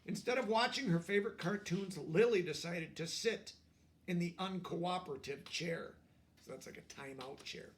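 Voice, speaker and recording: A man reading a storybook aloud to young children, expressive, with simple explanatory asides. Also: high voice, moderate pace. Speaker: storybook reader.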